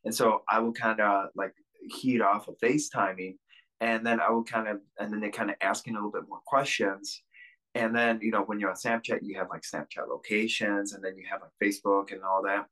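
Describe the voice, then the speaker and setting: Male podcast guest, lowish voice with a bright, forward edge, loose rambling delivery. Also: little pitch movement, brisk, poor audio. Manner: rambling, lots of fillers and restarts. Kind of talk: candid interview talk.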